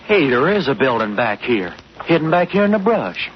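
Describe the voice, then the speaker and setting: A man's voice: medium pitch, big pitch swings, moderate pace, lightly acted. Feisty slangy sidekick played by a man, 1940s radio serial, indignant grumbler; muffled sound with heavy noise. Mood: wary. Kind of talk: 1940s radio adventure acting. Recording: muffled, very noisy vintage radio recording.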